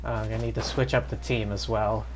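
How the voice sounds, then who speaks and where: A man's voice: fairly low pitch, level tone, fast, noisy background. A man streaming a video game with casual, off-the-cuff commentary. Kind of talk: casual livestream commentary and reading game dialogue aloud.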